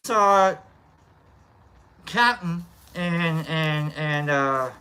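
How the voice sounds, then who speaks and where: A live stream host with a nasal, bright, sharp-edged voice talks casually to the chat, rambling and repeating greetings to viewers. The pitch sits mid-range. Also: drawn-out words, smooth. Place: outdoor lounge by a fire pit.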